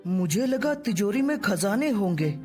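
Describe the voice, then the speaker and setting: A woman playing a scheming, greedy young son in an animated children's story, with a dramatic, complaining manner. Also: mid-range voice, measured pace, soft background music. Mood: whiny, dismayed.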